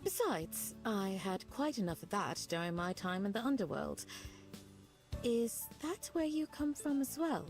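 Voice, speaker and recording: A refined and gracious vampire hostess, formal in her phrasing and kindly in temper. Her delivery swings expressively, as in a visual novel, over soft background music. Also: medium pitch, big pitch swings, a little slow. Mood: gracious, proud. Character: genteel, kindly female vampire who keeps bats, hospitable. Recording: music faintly behind the voice.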